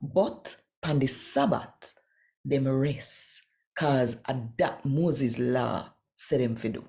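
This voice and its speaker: A woman's voice: a bass voice, takes it slowly, vocal fry, dark tone. A woman with a Jamaican accent hosting a devotional channel and reading scripture in Patois. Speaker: host and scripture reader.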